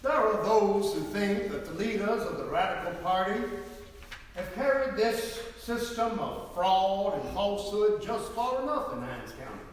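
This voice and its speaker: Man playing defiant 1870s Southern partisan editor, high male voice, oratorical, very echoey hall. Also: big pitch swings, a little slow, slight background noise. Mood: indignant, menacing. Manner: oratorical, emphatic. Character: zealous male 1870s Southern Democratic newspaperman, defiant, indignant. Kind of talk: dramatic reading of historical testimony. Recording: very echoey hall, distant microphone.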